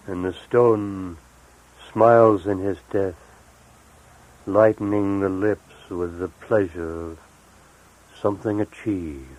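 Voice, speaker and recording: Slow, very low-voiced man reading poetry. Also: a little mellow, highs cut off. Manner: deliberate, measured phrasing with pauses. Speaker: poet. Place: live recorded reading, 1978.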